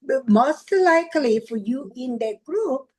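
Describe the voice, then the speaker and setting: A woman's voice: high-pitched, animated, unhurried, a crowd in the background. A woman from South Korea with a Korean accent and Korean as her first language. She gives earnest, encouraging advice in casual conversation and repeats her key points. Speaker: guest.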